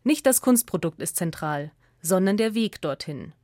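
A woman from narrates a scripted radio essay in a measured, clearly articulated way. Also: on the low side, expressive, a little mellow, no echo, studio quality.

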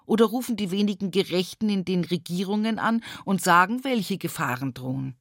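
An actress playing a devout, humorous Bavarian countrywoman looking back on her life in an intimate radio monologue, earthy and often breathy. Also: fairly low pitch, a little fast, dry recording, studio quality. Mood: urgent, pleading. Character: rural Upper Palatinate woman, devout, humorous, wistfully reminiscing. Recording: music behind the voice. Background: music.

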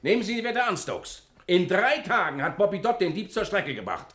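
An actor playing an excitable, impatient businessman in a German radio play, blustery and emphatic. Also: high-pitched, brisk. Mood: decisive.

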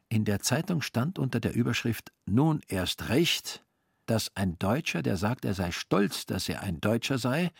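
A man reading literary narration for a radio drama in a dry, ironic, measured manner. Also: lowish voice, breathy edge, no room sound.

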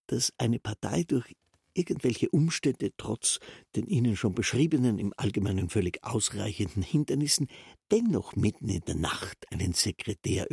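A self-absorbed official from a radio play, rambling softly with quiet fervour. The voice is very husky and creaky, recorded dry and close. He explains at length, thoroughly pleased with himself. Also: mid-range voice, no echo, exaggerated voice. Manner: soft, self-absorbed, long-winded explaining. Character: rambling, self-satisfied male castle official, quietly fervent. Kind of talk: literary radio drama monologue.

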